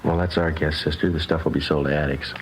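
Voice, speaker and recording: An actor playing a terse, businesslike police sergeant in a 1950s radio drama, with a deadpan, clipped delivery. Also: male voice, extremely low pitch, fast. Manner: deadpan, clipped, matter-of-fact. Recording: old radio recording, faint noise.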